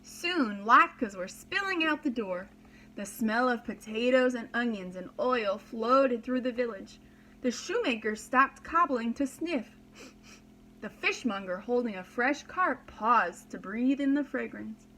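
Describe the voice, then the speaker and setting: A woman's voice: a little high, animated, measured pace, sharp tone, a little hiss or hum, poor audio. A woman reading a children's story aloud as a preschool teacher, dramatically and expressively, with simple explanations for young listeners.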